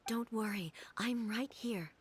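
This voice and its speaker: A woman playing a solemn, calm divine ruler in a video game cutscene, speaking in a measured, grave, soft voice. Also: on the high side, slightly rough. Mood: soothing.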